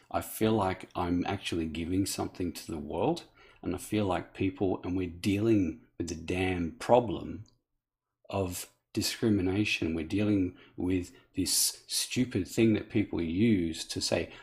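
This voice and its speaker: A man's voice: deep voice, gravelly, darkish tone. A man from Australia, talking earnestly and unscripted as a coach, often restarting his sentences. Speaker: accent coach. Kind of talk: direct-to-camera appeal.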